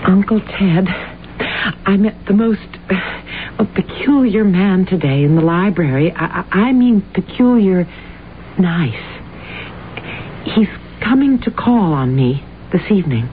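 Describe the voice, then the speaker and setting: An actress playing a shy, naive young librarian in an old radio drama, polite and hesitant. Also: female voice, fairly low pitch, animated, unhurried, pauses often. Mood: nervously hopeful. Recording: muffled, noisy old radio broadcast recording.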